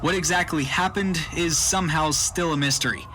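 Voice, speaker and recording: An actor playing a field reporter, giving an urgent, formal breaking news report in an audio drama. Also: male voice, a little high, moderate pace, sharp tone. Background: noise and ambience.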